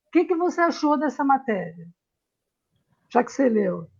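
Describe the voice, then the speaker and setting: A woman's voice: high-pitched, sing-song, normal speed, gravelly, a little mellow, slightly rough, lo-fi sound. A Brazilian woman from Minas Gerais hosting a casual conversational interview. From Belo Horizonte, Minas Gerais, Brazil. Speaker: host, interviewer.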